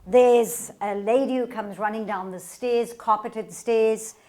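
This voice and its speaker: A woman performer presenting a radio play in a deliberate, theatrical storytelling style. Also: fairly high pitch, steady tone, mellow. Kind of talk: theatrical scripted narration and demonstration.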